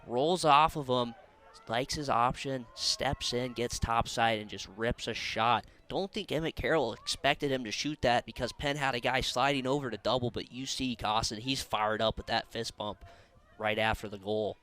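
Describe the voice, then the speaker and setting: Male color commentator with a mid-pitched voice. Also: normal speed, sharp tone. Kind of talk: live sports analysis.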